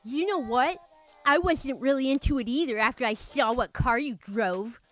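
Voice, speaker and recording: A woman's voice: high voice, normal speed, soft background music, natural voice. A woman voicing a petulant, cheeky, immature man from an online dating story, with an exaggerated lisp. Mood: sulky, dismissive. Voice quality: exaggerated lisp, speech-impediment voice.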